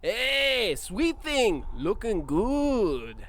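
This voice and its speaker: A man's voice: sits high, sing-song, extremely slow, a bit bright, exaggerated voice. A man playing a swaggering, leering street thug in an audio drama, drawling, mocking and wheedling.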